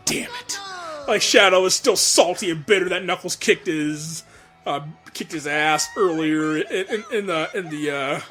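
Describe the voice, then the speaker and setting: A man giving casual, excited director's commentary, stammering and repeating words as he goes. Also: on the high side, moderate pace, soft background music. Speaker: comic dub director giving audio commentary.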